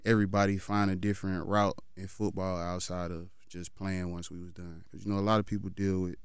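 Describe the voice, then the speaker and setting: A laid-back man in his thirties talks steadily in a low, even voice that often sinks into a murmur. His sound is very clear and close, with no room sound around it. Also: a little fast, dry recording. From Fort Lauderdale, Florida. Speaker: guest, football trainer. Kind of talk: casual interview talk.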